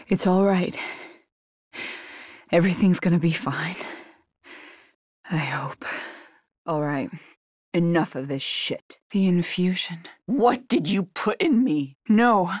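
A woman's voice: fairly low pitch, lively intonation, takes it slowly, pauses often, darkish tone, dry recording, clean studio sound. An actress playing a tough, determined young woman agent in a survival horror video game, speaking in terse, guarded bursts. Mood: subdued, earnest.

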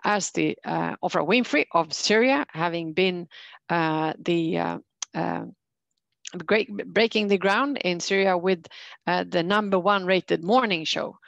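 A woman moderating an online panel and introducing a speaker in a halting way with frequent pauses. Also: on the low side, gravelly, fairly bright tone, dry recording, lo-fi sound. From Sweden. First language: Swedish.

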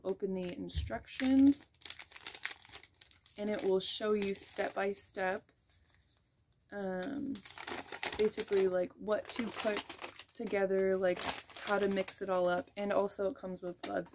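A woman's voice: mid-range voice, darkish tone, slight background noise. A young woman giving a casual, rambling hair dye tutorial in a small bedroom, filler words throughout. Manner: rambling, chatty, heavy use of "like" and "um". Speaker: beauty vlogger.

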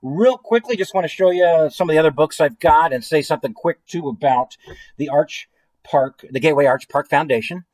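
A man's voice: on the high side, brisk, darkish tone, no room sound, low-quality recording. Playful American man with a dry, close sound. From St. Louis area, United States. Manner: playful, chatty, addressing listeners directly. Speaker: children's author and illustrator reading his book. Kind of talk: children's story time read-aloud with trivia quiz. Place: small room at home, indoors.

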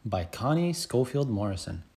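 Male story time reader for kids, mid-pitched, expressive and very animated. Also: moderate pace. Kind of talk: children's book read-aloud.

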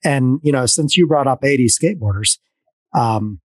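A middle-aged man hosting a podcast over a video call, telling rambling, animated stories with many restarts. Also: medium pitch, normal speed, no echo. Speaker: podcast host. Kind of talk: casual video-call conversation. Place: dim home room. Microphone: headset on a video call.

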